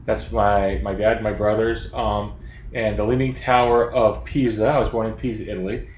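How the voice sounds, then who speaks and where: A man's voice: on the low side, flat delivery, moderate pace, darkish tone. A man in his fifties, a children's book author from Florida, chatting casually and joking at his own expense from his home office. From Florida, USA. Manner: chatty, self-deprecating, joking. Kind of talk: casual chat to young readers. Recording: roomy office sound, faint background noise.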